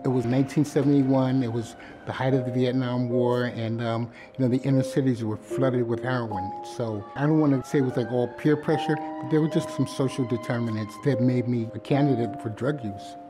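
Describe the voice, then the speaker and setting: Older man, mid-pitched voice, measured interview, music underneath. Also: fairly even intonation. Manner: thoughtful, measured storytelling. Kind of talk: documentary interview.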